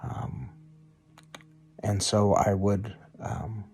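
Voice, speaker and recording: A middle-aged man giving a personal testimonial as a volunteer, halting and pausing often. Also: on the low side, flat delivery, darkish tone, breathy edge.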